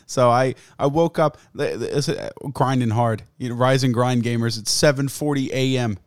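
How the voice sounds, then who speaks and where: A young man with a sick, fraying voice rambles through his podcast, cursing freely and laughing at himself. He sits close to the mic in a dead, dry room with no echo, and he talks at an everyday pitch. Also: normal speed, clean tone. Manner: profane, rambling, self-mocking. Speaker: podcast host. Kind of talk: casual solo podcast chat. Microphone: studio mic, close.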